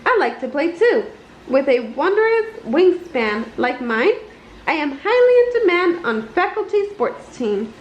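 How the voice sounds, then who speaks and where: Woman playing a pompous goose professor, high and animated. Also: a little slow. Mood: self-important. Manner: pompous, emphatic lecturing. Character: pompous, indignant female goose professor, scientist. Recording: roomy, slightly dull, noisy home recording.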